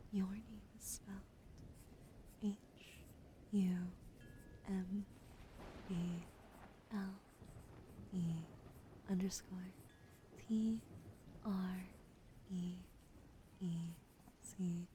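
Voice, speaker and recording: A woman livestreaming a soft-spoken ASMR card reading, often slipping into a whisper, chatty and affectionate with her viewers, close to a desk mic. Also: mid-range voice, level tone, extremely slow, pauses often, slight background noise. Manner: soft, gentle, partly whispered delivery. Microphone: close desk microphone.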